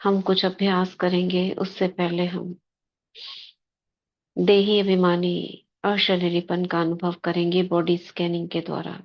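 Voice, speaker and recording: A woman guides a spiritual meditation in a fairly low, very even voice. She speaks very slowly, in short devotional phrases separated by pauses. The recording is slightly dull and lo-fi. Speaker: meditation leader.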